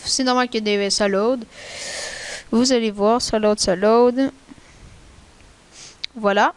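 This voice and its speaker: Chatty Québécois woman, higher voice. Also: fairly even intonation, slow pace, clean tone. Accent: Québécois French. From Quebec. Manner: chatty, rambling, slangy. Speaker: tutorial maker. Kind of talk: casual screen-recorded tutorial.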